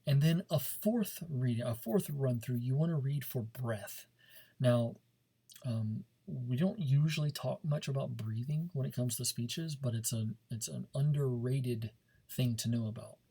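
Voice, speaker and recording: A man's voice: mid-range voice, a little slow, warm tone, husky. A man teaching a speech class in an informal, coaching manner, often checking in as he gives instructions. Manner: informal, coaching, checks understanding with frequent "okay" and "right".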